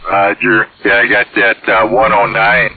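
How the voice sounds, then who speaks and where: A man's voice: fairly low pitch, level tone, unhurried. A man chatting casually over CB radio in a hesitant, folksy way. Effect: radio transmission. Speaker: CB radio operator. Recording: tinny, band-limited CB radio signal.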